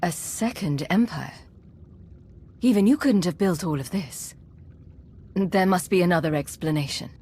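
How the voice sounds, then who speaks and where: An actress playing a defiant, cool-headed agent and commander in a video game, firm, controlled and challenging. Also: female voice, on the low side, expressive, unhurried, long pauses, dark tone, breathy edge. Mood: accusing. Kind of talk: dramatic video game voice acting.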